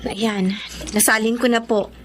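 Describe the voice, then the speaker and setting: A woman's voice: a little high, little pitch movement, measured pace, slight background noise, lightly acted. A Filipino woman playing a polite, doubtful young kitchen helper in a radio drama. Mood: hesitant, obedient.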